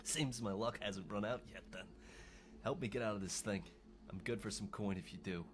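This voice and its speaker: A man voicing a wounded rebel fighter in a video game, weary, strained and halting, with a wry, loyal temper. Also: fairly low pitch, noisy background, close to an everyday voice. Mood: strained, wary. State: injured, in pain. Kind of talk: video game character dialogue.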